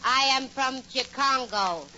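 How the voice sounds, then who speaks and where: A woman's voice: sits high, unhurried. A woman playing a phony jungle goddess who is really a sassy city girl, with mock-exotic speech in 1940s radio comedy. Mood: mock solemn. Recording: muffled, noisy old radio recording.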